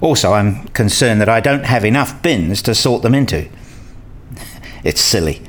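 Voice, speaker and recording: Man acting animated, curious academic researcher. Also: on the low side, moderate pace. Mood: sheepish, annoyed. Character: curious, self-deprecating male academic researcher and father. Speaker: narrator and lead character in a radio play. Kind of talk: scripted radio play dialogue and narration.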